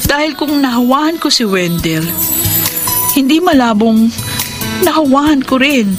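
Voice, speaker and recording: A woman's voice: high-pitched, expressive, a little slow. An actress playing a remorseful woman narrating her confession in a Filipino radio drama, earnest and dramatic. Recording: loud music under voice.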